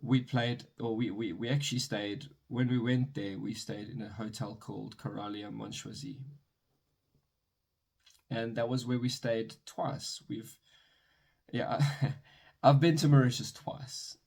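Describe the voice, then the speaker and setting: A man's voice: mid-range voice, fairly even intonation, unhurried, vocal fry, darkish tone, slightly rough. A young man from South Africa with a South African accent, chatting casually to camera in his room, rambling and correcting himself as he goes. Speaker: vlogger. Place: his bedroom.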